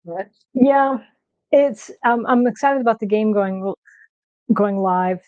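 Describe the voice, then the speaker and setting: Soft-voiced nasal woman, mellow tone. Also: mid-range voice, slow pace, no room sound, dull audio. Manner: chatty, with many fillers and restarts. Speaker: streamer host. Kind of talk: casual livestream chat. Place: home kitchen.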